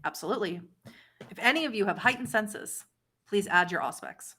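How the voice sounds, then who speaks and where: A woman's voice: medium pitch, expressive, fairly quick, sharp tone. A woman speaking as game master of a streamed tabletop roleplaying session, agreeing readily with players.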